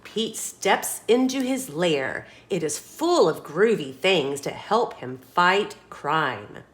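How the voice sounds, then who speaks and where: A woman reading a children's picture book aloud as a storytime host, expressive and dramatic, putting questions to young listeners. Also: on the low side, slow.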